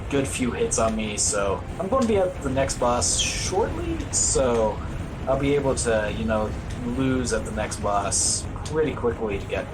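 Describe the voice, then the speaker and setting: A man's voice: medium pitch, very animated, measured pace, low-quality recording. A man giving casual, wry, self-deprecating live commentary as he plays through a video game as a speedrunner. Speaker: speedrunner commentating on own play.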